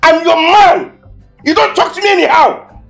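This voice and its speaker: An actor playing a struggling, hot-tempered young man who swings between pleading and shouting. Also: high-pitched, expressive, moderate pace, vocal fry, soft background music, heavily acted. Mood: threatening. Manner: pleading then shouting in bursts. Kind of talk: Nigerian film drama acting.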